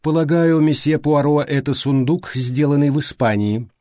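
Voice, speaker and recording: A man's voice: fairly high pitch, normal speed, warm tone, no room sound, studio quality, voice pushed up, lightly acted. Man reading as efficient, matter-of-fact female secretary. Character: efficient, literal-minded middle-aged female secretary.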